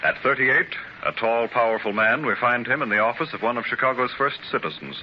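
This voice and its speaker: A man's voice: mid-range voice, brisk, background noise, natural voice. A man narrating a 1930s radio drama in an earnest, storytelling style. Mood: uplifting. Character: earnest male narrator of an industrial biography drama. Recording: dull 1930s radio transcription, music behind.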